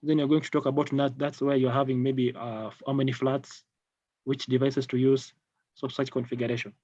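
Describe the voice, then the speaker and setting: Male product trainer, Kenyan accent, halting and repetitive delivery, dry lo-fi call sound. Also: mid-range voice, flat delivery, warm tone, no echo. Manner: halting, repeats phrases. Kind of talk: live online technical training. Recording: dull lo-fi online call audio.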